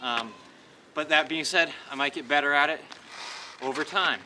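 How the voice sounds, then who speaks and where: A man's voice: a little high, moderate pace. A young man chatting casually to camera as a vlogger, in an offhand, hesitant way. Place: outdoors in snow by a roadside. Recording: very noisy outdoor camera audio.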